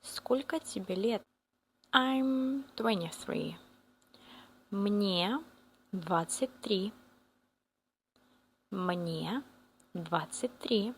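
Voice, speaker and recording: A woman with a Russian accent teaching a beginner language lesson, repeating each phrase slowly for learners to copy. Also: medium pitch, slight background noise.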